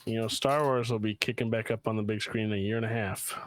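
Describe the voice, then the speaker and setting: A man's voice: fairly low pitch, steady tone, fast. A man co-hosting a podcast, chatting casually in a blunt, slangy way with some swearing. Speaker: podcast co-host. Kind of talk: casual chat.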